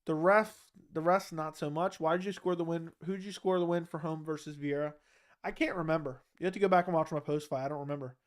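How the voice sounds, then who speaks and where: A man with a fairly high-pitched voice talks through a casual livestream while nursing a sore throat. He reads viewer questions aloud and answers them bluntly, often with a flat one-word reply. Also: fast. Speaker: livestream host answering chat.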